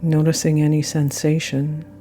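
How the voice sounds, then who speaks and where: A woman guiding a yoga nidra meditation with gentle, unhurried phrasing and frequent pauses. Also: low-pitched, completely flat delivery. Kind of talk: guided meditation narration. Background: soft meditation music.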